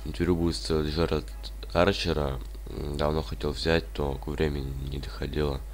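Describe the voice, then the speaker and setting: Male interviewer, very deep voice, under the weather, terse questions. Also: level tone, moderate pace, a little hiss or hum. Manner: short, plain questions. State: a bit ill. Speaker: interviewer, channel host. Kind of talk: casual interview.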